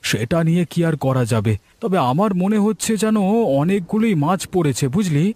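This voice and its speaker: A man's voice: high voice, big pitch swings, a little fast, a little mellow, no room sound. A man voicing a hardworking, devoted village fisherman and family man in a moral cartoon story. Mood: chatty, accepting. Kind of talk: Bengali moral cartoon dubbing. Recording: music behind the voice.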